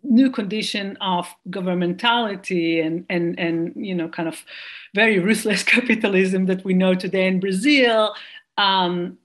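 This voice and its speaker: A woman's voice: mid-range voice, unhurried. Non-native woman speaking hesitantly. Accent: non-native English. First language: not English. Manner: hesitant, many fillers and restarts. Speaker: performance artist and lecturer. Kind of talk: video call conversation. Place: dark room at home. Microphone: video call laptop mic.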